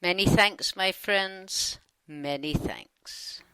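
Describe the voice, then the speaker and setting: A British-accented woman reading her side of a dialogue in a chatty, wry, expressive conversational style. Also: medium pitch, very slow, clean tone, poor audio.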